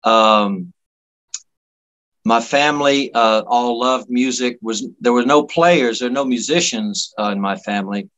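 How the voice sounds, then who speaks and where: A man from Miami, Florida, a guest musician telling casual anecdotes in a halting, folksy, jokey way. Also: medium pitch, a bit bright, dull audio. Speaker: guest musician, singer-songwriter.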